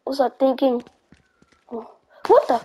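An amateur performer voicing a desperate, self-blaming but defiant young male hero in a homemade anime fight roleplay, halting and dramatic. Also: sits high, mellow. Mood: despairing. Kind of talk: amateur roleplay anime fight acting over game footage.

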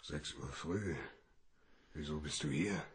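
Casting: hard-boiled detective for radio drama, low-pitched, husky, terse and dry. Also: male voice, huge pitch swings, slow pace, dry recording, full character voice. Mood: weary, curt. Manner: terse, dry, matter-of-fact. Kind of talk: German radio play acting.

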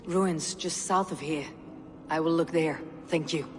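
A woman playing a stern, battle-hardened Viking warrior in a video game, blunt, measured and commanding. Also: sits low, fairly even intonation, slight background noise. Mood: matter-of-fact. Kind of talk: video game cutscene acting. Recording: reverberant hall sound under music.

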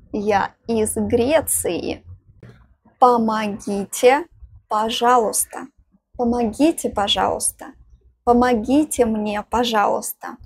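A young woman, teaching phrases outdoors, saying each one twice, slowly and clearly, into a clip-on lapel mic. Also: on the high side, darkish tone, dry recording, clean studio sound. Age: young adult. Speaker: language teacher. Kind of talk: slow phrase-by-phrase lesson. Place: outdoors in front of greenery.

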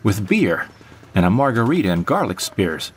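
A man's voice: on the low side, sing-song, normal speed, slight background noise. A man playing a hopeful, lovestruck male rebel in a sci-fi audio drama. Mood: upbeat.

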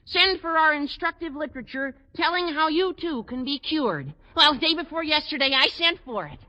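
Squawky, high-pitched teenage schoolboy. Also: male voice, lively intonation, normal speed, bright, forceful tone, exaggerated voice. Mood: eager. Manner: gushing, mock-grandiose. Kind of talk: old-time radio sitcom acting. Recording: dull 1940s radio recording.

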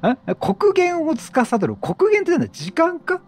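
A man's voice: very high-pitched, huge pitch swings, measured pace. A man giving casual let's play commentary, chatty and reacting aloud, questioning and repeating lines. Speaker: gaming streamer.

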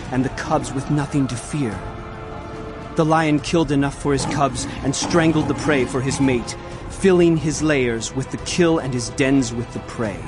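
Casting: male actor as urgent biblical prophet, mid-pitched, emphatic and declamatory, clear musical backing. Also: close to an everyday voice. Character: male prophet narrator, solemn, urgent herald of doom. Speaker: narrator. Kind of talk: dramatized scripture reading.

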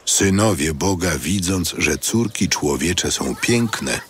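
A man narrating scripture from a script in a measured, solemn style. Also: low voice, expressive, a little hiss or hum. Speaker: narrator of an audio Bible.

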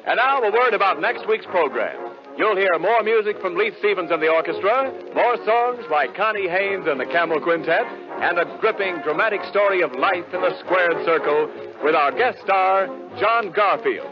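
A man's voice: high-pitched, expressive, normal speed, over music. An American man announcing on 1940s radio, reading scripted copy with a polished, emphatic salesman's delivery. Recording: muffled 1940s radio broadcast.

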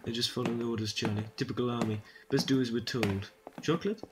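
A man's voice: mid-range voice, fairly even intonation, fast talker, roomy sound, heavy background noise, natural voice. A man playing an eccentric, curious time-traveling adventurer in an amateur audio drama, chatty and whimsical. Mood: flippant. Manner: whimsical, breezy.

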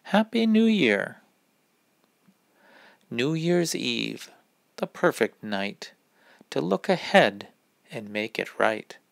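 A man reading a rhyming children's picture book aloud in a deliberate, instructive manner. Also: medium pitch, sing-song, gravelly, no room sound. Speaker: read-aloud reader.

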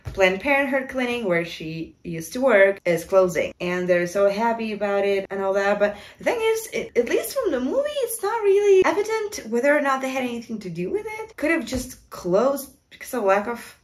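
A woman's voice: on the high side, animated, moderate pace, hardly any pauses. A woman in her twenties giving a casual, exasperated and sarcastic film review to camera in a room. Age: 20s. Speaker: video commentator. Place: small room indoors.

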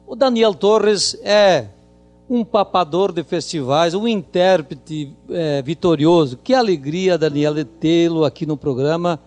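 A middle-aged man hosting a TV music show, speaking slowly and emphatically into a handheld mic on a rustic studio set. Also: fairly high pitch, a little mellow. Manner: slow, emphatic presenter delivery. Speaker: TV show host. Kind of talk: TV interview hosting.

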